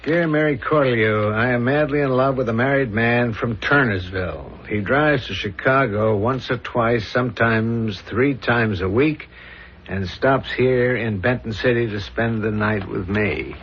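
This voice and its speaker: An actor playing an earnest, dogged homicide inspector in a 1970s radio drama, serious, probing and deliberate, on a dull old recording. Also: male voice, medium pitch, slight background noise, close to an everyday voice. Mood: probing, businesslike.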